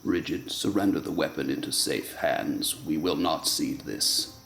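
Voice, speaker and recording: A man playing a haughty, menacing fey king villain in a radio play, speaking with formal, disdainful theatrical delivery and a gravelly voice. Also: extremely low pitch, animated, unhurried, fairly bright tone, echoey room, slight background noise, full character voice. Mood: cool menace.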